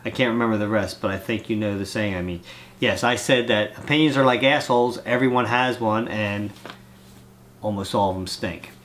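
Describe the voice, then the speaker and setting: A man's voice: on the low side, moderate pace, fairly bright tone, a little hiss or hum. A man with a cold, congested and coughing now and then, chatting casually on his vlog and rambling from one thought to the next. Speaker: vlogger reading fan mail. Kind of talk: casual chat.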